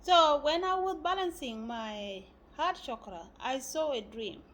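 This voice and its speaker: A woman from South Sudan giving a spiritual talk, often pausing and searching for words. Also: high-pitched, animated, a bit bright, a little hiss or hum. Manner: halting, searching for words with many fillers. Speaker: spiritual teacher.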